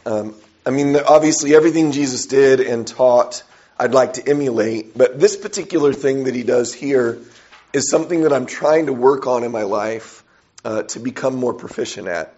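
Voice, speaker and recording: A man preaching a sermon with a hoarse, raspy, weak voice from a sore throat, speaking conversationally with frequent pauses and fillers. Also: medium pitch, darkish tone, muffled recording.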